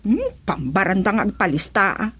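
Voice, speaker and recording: A woman's voice: mid-range voice, big pitch swings, a little fast, a bit bright, breathy edge, no echo. A woman with a Filipino accent acting as a gossipy village storekeeper in a comedy radio drama, complaining in everyday colloquial speech. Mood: gossiping.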